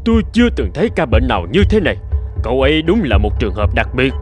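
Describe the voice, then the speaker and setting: A man's voice: medium pitch, big pitch swings, fast talker, a little mellow, soft background music, close to an everyday voice. A man playing an older doctor in a cartoon, formal and sympathetic in manner. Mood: amazed. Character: solemn, older bearded male doctor.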